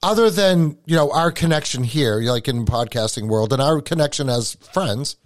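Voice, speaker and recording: A man with a New England accent, hosting a podcast and chatting in an animated, casual way, often repeating words as he starts a thought. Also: medium pitch, moderate pace, no room sound, clean studio sound. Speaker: podcast host.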